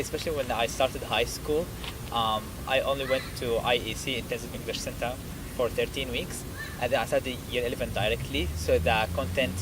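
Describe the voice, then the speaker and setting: Young man from Syria, street noise behind. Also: mid-range voice. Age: young adult. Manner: thoughtful, many fillers and restarts. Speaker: student interviewee. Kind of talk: interview answers. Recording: outdoor traffic noise behind. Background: street traffic.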